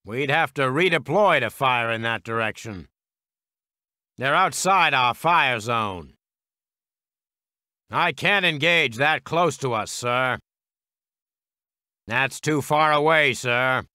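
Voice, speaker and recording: An actor playing a gung-ho American World War Two heavy weapons soldier in a strategy game, with a clipped military delivery. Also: male voice, medium pitch, slow pace, long pauses, bright, forceful tone, smooth, dry recording, studio quality. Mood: firm, reluctant.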